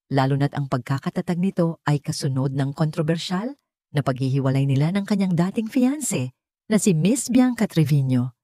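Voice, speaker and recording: Woman voicing a sly tabloid reporter, mellow. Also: sits low, dry recording, studio quality. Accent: Filipino. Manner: falsely polite, insinuating. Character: sly, venomous tabloid reporter with sweet smile. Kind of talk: audiobook character dialogue.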